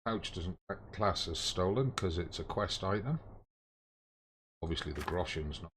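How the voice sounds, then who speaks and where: A man's voice: low voice, lively intonation, measured pace, fairly bright tone, slight background noise. A man giving casual live commentary on a video game, thinking aloud in short muttered phrases. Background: video game music.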